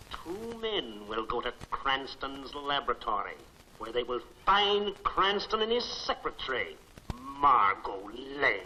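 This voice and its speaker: Slow, piercing, menacing crime lord. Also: male voice, a little high, pushed and bright, full character voice. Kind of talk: 1940s film serial acting. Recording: noisy old 1940s film soundtrack.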